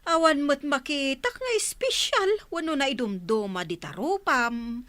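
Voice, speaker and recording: Casting: teasing Ilocano village woman, high, lively, audio drama. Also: measured pace. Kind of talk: Filipino audio drama acting.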